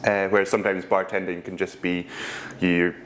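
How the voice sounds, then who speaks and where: Scottish man, low voice. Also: slight background noise. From Scotland. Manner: relaxed storytelling with frequent ums and pauses. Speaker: guest, whisky brand ambassador. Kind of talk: casual interview answers.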